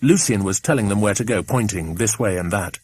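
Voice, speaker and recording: A man's voice: fairly low pitch, fairly quick, a little mellow, breathy edge, no echo. A man with an English accent reading a children's adventure story as an audiobook narrator.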